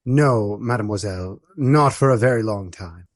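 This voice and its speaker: A man acting a courteous former baron turned friar in his fifties, speaking gently and formally in a radio play. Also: on the low side, moderate pace, lightly acted. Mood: reserved, courteous.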